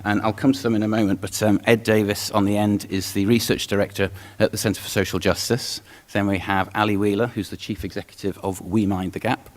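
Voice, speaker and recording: A man's voice: on the low side, level tone, brisk, hardly any pauses. North Welsh man chairing a panel. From North Wales. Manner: friendly, hesitant with fillers. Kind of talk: event introduction. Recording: long hall reverb.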